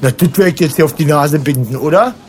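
A man's voice: fairly high pitch, fast, darkish tone, a little hiss or hum, lightly acted. A man playing an easygoing, evasive friend in a naturalistic radio play, speaking in hesitant, rambling everyday language. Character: evasive, easygoing male friend, mildly sympathetic to workers.

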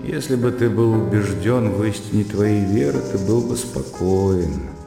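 Cool materialist gentleman, creaky voice, dry irony, radio drama, echoing hall. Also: lowish voice, animated, unhurried, over music. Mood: cool, mocking. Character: cool-headed, ironic young materialist gentleman scholar. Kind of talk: radio play acting.

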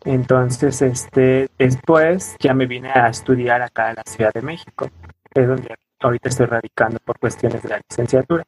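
Casting: young Mexican man, podcast guest, explanatory and conversational, frequent tag questions, average pitch. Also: fairly even intonation, normal speed, slight background noise, rough recording. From coastal Oaxaca, southern Mexico. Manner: explanatory, often ending phrases with a questioning tag. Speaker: podcast guest, student and weaver. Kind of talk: conversational interview answers.

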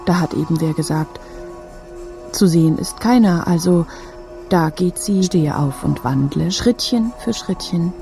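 A woman narrating a literary audio drama expressively, often repeating phrases and turning breathy at moments. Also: fairly low pitch, measured pace, music in the background.

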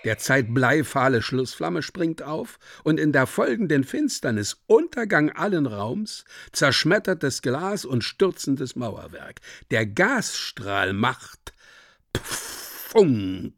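Casting: vivid, emphatic radio drama narrator, slow and deliberate, with wide swooping intonation, recorded dry. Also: male voice, mid-range voice, sing-song, fairly bright tone, no room sound, exaggerated voice. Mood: grave, dramatic. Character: vivid, theatrical stage-direction narrator, relishing grotesque detail.

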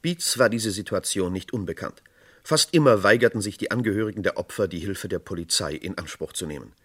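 A man's voice: low voice, a little fast, fairly bright tone, husky. A man narrating a radio crime play in a sober, matter-of-fact tone.

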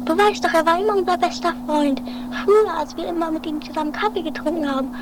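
A woman playing an anxious, high-strung, pleading woman in an improvised trashy radio play, with theatrical, plaintive delivery. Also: fast. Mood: chiding, reminiscing. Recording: very noisy 1980s home-made recording.

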